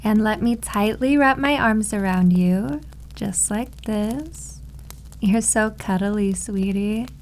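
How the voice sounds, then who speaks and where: A woman's voice: medium pitch, slow pace, a little mellow. A woman acting as a doting, possessive maid girlfriend in an ASMR roleplay, cooing and confidently teasing. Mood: cooing, affectionate.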